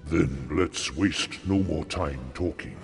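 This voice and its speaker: An actor playing an ancient, solemn dragon ally in a fantasy game, speaking in a grave, measured, patronizing way. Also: male voice, very deep voice, gravelly, slightly rough, soft background music, exaggerated voice. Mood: grave, calm. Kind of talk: fantasy video game voice acting. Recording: long hall-like reverb.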